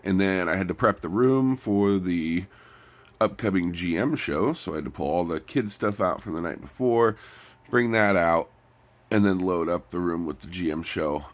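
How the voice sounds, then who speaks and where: A man with a stuffy nose chats casually in a personal vlog, rambling and halting, often repeating his phrases. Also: sits low, lively intonation. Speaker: vlogger. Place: recorded lying down.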